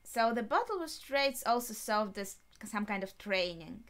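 A young adult woman giving spontaneous reaction commentary, thinking aloud in short, halting remarks. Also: medium pitch, lively intonation, fairly bright tone. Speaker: anime reaction vlogger. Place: small bedroom, indoors.

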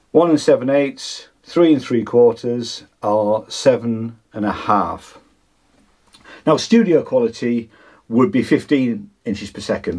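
A man in his sixties with a husky voice gives an informal hands-on demonstration, explaining hesitantly as he thinks aloud. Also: medium pitch. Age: 60s. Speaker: vlogger demonstrating vintage audio gear. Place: small home studio with foam panels, indoors.

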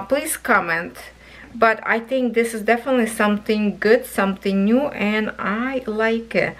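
Woman w/ reviewing craft kits, slow and soft, voice a bit hoarse. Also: fairly high pitch. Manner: chatty, thinking aloud, soft and slow. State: voice going hoarse, coughing. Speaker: craft channel host reviewing cross stitch kits. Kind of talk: casual product review vlog. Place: indoors at a wooden table. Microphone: camera over a table, hands only in frame.

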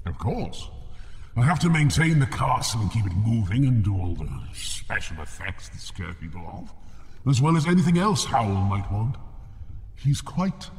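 Fire demon, sly, wry, very lively intonation, long reverb, radio drama. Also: male voice, medium pitch, moderate pace. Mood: sly, bargaining. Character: sly bargaining male fire demon bound to a hearth.

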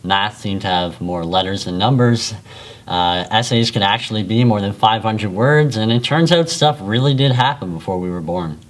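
A young man speaks with a somewhat low voice, giving a prepared valedictorian address. His delivery is earnest and measured, recorded in a school library. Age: young adult. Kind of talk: prepared graduation speech.

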